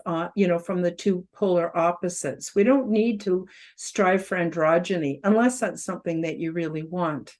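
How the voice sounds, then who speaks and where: Older lady around 70 talking to camera, loud voice that carries, lots of ums, mid pitch. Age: about 70. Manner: conversational with frequent fillers, emphatic rises. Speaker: host giving a self-help presentation. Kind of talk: casual spoken presentation to camera.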